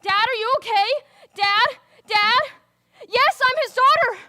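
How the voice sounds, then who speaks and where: A woman's voice: sits high, expressive, moderate pace, no echo, studio quality. A woman acting a scared teenage daughter. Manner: big emotional swings, from gentle to frantic pleading. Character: teenage girl talking to her sick father. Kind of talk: dramatic monologue.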